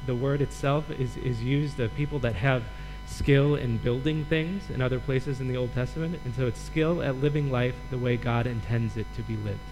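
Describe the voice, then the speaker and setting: A man from Michigan, a guest preacher and Old Testament professor, teaching a sermon in a relaxed, lightly joking way. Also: medium pitch, normal speed, few pauses, music in the background, rough recording.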